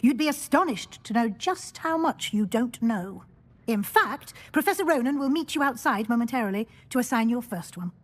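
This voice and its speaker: Game role: brisk witch professor, fairly high voice, formal and authoritative. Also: expressive. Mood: brisk, stern. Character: stern, brisk older female wizard-school professor. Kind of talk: video game acting.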